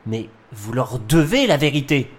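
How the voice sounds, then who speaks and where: A man's voice: medium pitch, sing-song, normal speed. A man acting an earnest, indignant government adviser in a French sci-fi audio drama, formal and protesting. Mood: alarmed, insistent.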